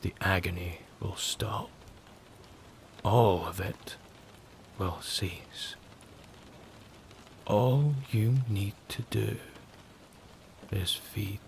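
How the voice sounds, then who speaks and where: A man playing an arrogant, cruel vampire who speaks in a slow, menacing, commanding way. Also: on the low side, gravelly, full character voice. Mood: menacing, coaxing. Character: sinister, arrogant male vampire, cruel predatory maker. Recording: steady rain noise over the voice. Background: rain.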